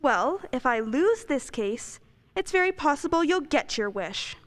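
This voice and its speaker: A woman playing a brisk, defensive assistant district attorney in a radio play, with clipped, assertive delivery. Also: high voice, very animated. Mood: exasperated, defensive. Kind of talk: stage-style radio play acting.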